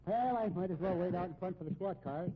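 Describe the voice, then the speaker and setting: Man acting folksy, higher-voiced old-radio policeman. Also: fast talker, natural voice. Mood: searching, affable. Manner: folksy, avuncular turns of phrase. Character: kindly, folksy middle-aged policeman, soothing and dogged. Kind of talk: 1950s radio drama acting. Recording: tinny, hissy 1950s radio recording.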